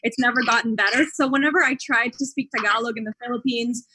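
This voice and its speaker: A woman's voice: fairly high pitch, fairly even intonation, fast, pushed and bright, breathy edge, rough recording. A young woman who grew up in Dubai, a panel guest speaking candidly in casual chat with lots of "like".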